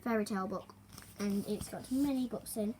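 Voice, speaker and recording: A young girl reading a children's storybook aloud, expressive but halting, with small stumbles and chatty asides. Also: medium pitch, background noise. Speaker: young reader hosting a story video.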